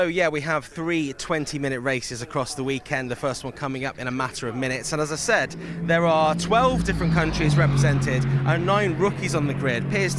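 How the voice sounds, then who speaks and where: A man presenting live motorsport coverage as a broadcast host. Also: fairly high pitch. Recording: loud car and outdoor circuit noise.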